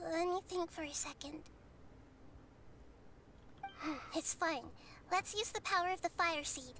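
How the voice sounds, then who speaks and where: A woman's voice: extremely high pitch, takes it slowly, pauses often, noisy background. A woman voicing a small, childlike fantasy girl in a video game, speaking earnestly. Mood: earnest, thoughtful. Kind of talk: scripted video game voice acting.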